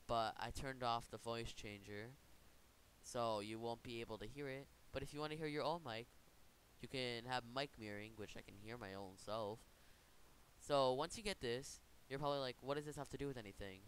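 A man hosting an informal software tutorial, talking in a casual, rambling way and correcting himself as he goes. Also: mid-range voice, poor audio. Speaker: tutorial host. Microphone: computer microphone. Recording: noticeable background hiss.

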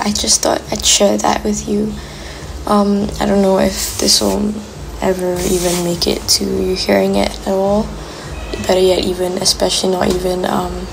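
An eighteen-year-old woman who grew up in Malaysia, talking softly and hesitantly in a personal voice memo, sometimes dropping to a whisper. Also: mid-range voice, vocal fry, noisy background. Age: teens. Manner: soft, hesitant, lots of ums, drops to a whisper.

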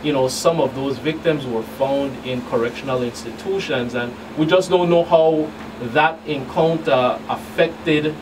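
A middle-aged man gives a talk show interview as a law enforcement agent. He speaks in careful, halting phrases at a medium pitch. He sounds off the microphone, with a clear hum in the room. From U.S. Virgin Islands. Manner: halting, deliberate, hesitant phrasing. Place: small radio studio. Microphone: studio boom microphones in view. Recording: distant mic, clearly noisy room hum.

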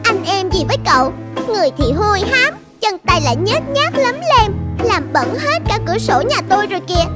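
Very high-pitched spoiled little cat. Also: female voice, big pitch swings, fairly quick, over loud music. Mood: haughty, scornful. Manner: snooty, whiny, dramatic. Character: spoiled, haughty young pet cat, childlike. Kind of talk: children's cartoon acting.

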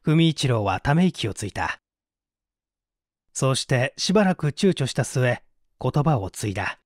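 A man's voice: mid-range voice, sing-song, unhurried, no room sound, clean studio sound. A man reading a literary mystery story aloud as a scripted, dramatic narration. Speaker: audiobook reader.

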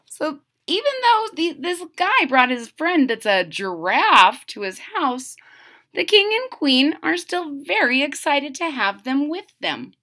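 Middle-aged woman, children's read-aloud, unhurried and very animated. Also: sits high. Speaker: storyteller reading a picture book aloud. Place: small room at home.

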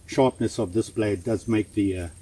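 An older man in his sixties with a husky, fairly low voice, casually talking through a knife test while he kneels in the woods. He rambles easily, restarting sentences and filling pauses, recorded by a camera a few feet away. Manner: relaxed, chatty, with frequent fillers and restarts. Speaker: outdoor knife reviewer. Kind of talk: casual hands-on review. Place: outdoors in woods, kneeling in the undergrowth.

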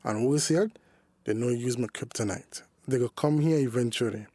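A man playing a smooth-talking, evasive young sweetheart on the run in a radio drama. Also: mid-range voice, moderate pace, mellow, no echo, lightly acted. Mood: reluctant, weary.

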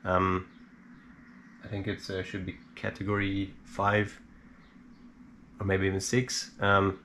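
A man giving a casual, unscripted hands-on explainer as a hobbyist, halting and hesitant as he thinks aloud. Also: sits low. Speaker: DIY electronics hobbyist presenter. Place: small indoor home workshop. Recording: steady background noise.

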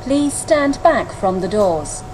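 A woman making formal recorded train announcements with measured, careful enunciation. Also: mid-range voice, sing-song. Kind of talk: recorded public transit announcements. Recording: noisy clips captured amid train ambience.

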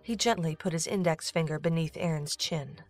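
A woman's voice: low-pitched, moderate pace. A woman narrator reading a scripted story for an audio drama. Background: music.

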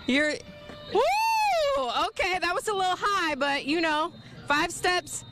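A woman's voice: very high-pitched, very animated, takes it slowly, music in the background. An American woman, a morning show host chatting off the cuff on a live outdoor broadcast. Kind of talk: live unscripted TV chat. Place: outdoors at a football stadium. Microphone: handheld TV microphone.

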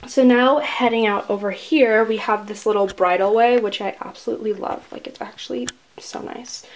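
A young woman talks her way through a tour of her toy horse stable, chatty and easygoing. She fills gaps with ums and likes while filming up close with the camera. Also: fairly high pitch. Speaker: toy horse channel creator. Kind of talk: casual model barn tour.

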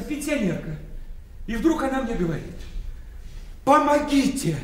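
An actor playing a jovial, pushy middle-aged son-in-law in a radio play, coaxing and flattering with endless rhetorical questions. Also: male voice, extremely high pitch, heavily acted. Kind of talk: Soviet-era radio play acting. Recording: roomy, distant, faintly noisy radio play.

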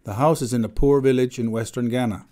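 Calm male documentary voice-over, dark tone. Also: mid-range voice, quick pace. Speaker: voice-over interpreter.

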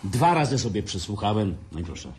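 A man's voice: fairly low pitch, sing-song, fairly quick, over music. An actor playing a boastful, self-pitying businessman who drinks and mocks everyone at a family dinner in a radio drama. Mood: tipsy. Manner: sarcastic, theatrical, drinking.

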